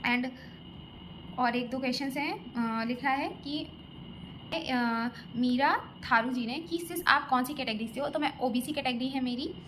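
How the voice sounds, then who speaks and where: A woman's voice: high voice, measured pace. A young woman from Lucknow, vlogging casually as she answers viewer comments about her exam preparation, talking in a chatty, hesitant way with many fillers and restarts. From Lucknow, India. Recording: audible background noise.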